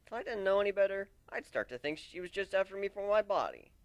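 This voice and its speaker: Expressive male story narrator, lively pitch. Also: quick pace, no room sound. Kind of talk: dramatic first-person story reading.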